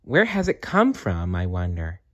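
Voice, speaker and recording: A man voicing a tiny female elf in a storybook, earnest, wide-eyed and excitable. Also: sits low, a little slow, highs cut off, heavily acted. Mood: wondering.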